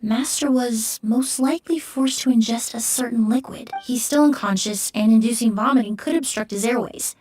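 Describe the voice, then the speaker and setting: Actress as a poised, high-voiced young perfumer. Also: female voice, a little mellow, close to an everyday voice. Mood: composed. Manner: formal, measured, courteous. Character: poised young female perfumer, polite, composed, analytical. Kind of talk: video game voice acting.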